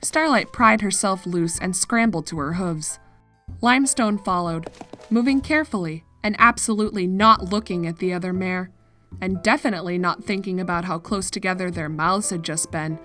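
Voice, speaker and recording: A woman's voice: lowish voice, bright, forceful tone. A woman narrating scripted fiction as a storyteller.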